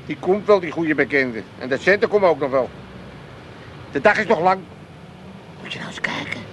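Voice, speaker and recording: A scheming, bossy old dad in a comedy radio play. His voice sits high and leaps about as he blusters and repeats himself. There is clear background noise on the recording. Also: expressive, moderate pace, a bit bright, poor audio. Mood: suspicious, gossiping. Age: older. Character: meddling, scheming, bossy old father, fond of a drink. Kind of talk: 1980s Dutch comedy radio play acting.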